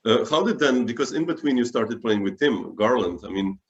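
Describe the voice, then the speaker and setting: A man's voice: medium pitch, expressive, a little fast, muffled recording. A man hosting a casual interview, asking questions and adding short encouraging remarks. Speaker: interviewer, host.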